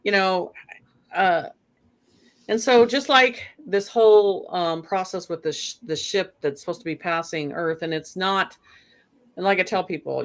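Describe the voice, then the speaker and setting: A guest in a casual conversation, rambling and animated, often saying "you know.". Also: medium pitch. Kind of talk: casual chat.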